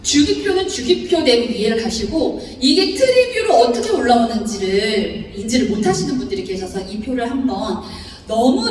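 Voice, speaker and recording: A woman's voice: fairly high pitch, a little hiss or hum. A woman with a Chungcheong accent giving a seminar lecture to a large audience, often laughing and putting questions to the crowd. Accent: Chungcheong Korean. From Seocheon, South Chungcheong, Korea. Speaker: seminar speaker. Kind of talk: seminar lecture explaining a bonus chart. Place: large lecture hall before about 800 people. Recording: echoey hall recording from far off.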